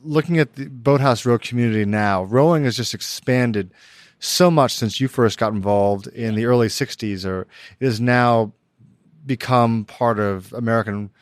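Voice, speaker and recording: A man hosting a podcast, asking measured, courteous interview questions. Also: medium pitch, no echo, clean studio sound. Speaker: podcast host.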